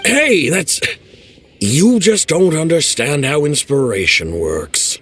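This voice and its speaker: A man's voice: fairly high pitch, sing-song, slow pace, over music. An actor playing a boastful, theatrical male stage performer in a video game, with a grandiose, self-amused way of speaking. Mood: teasing. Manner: grandiose, hammy, laughs at himself.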